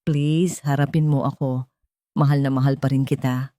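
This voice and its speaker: A woman's voice: very deep voice, little pitch movement, moderate pace, dark tone, no echo, studio quality, pitched below the performer's own voice. A woman voicing a disgraced husband who begs melodramatically for forgiveness in an audio story. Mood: pleading. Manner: pleading, melodramatic. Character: disgraced husband begging forgiveness with fake theatrical tears.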